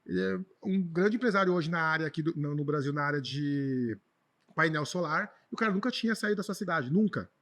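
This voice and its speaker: A man's voice: fairly high pitch, brisk, fairly bright tone. A Brazilian man telling personal stories in an informal, emphatic way as a podcast guest, often repeating words for stress. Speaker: podcast guest, traveler and speaker. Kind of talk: conversational personal storytelling.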